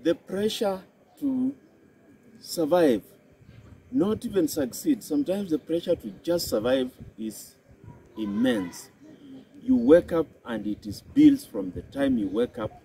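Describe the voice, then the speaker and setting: Kenyan man, unhurried and lilting, mellow tone, faint outdoor hiss. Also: very animated, creaky, low-quality recording. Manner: slow and deliberate, with a swooping emphatic pitch. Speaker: psychology and health specialist. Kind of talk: reflective talk to camera.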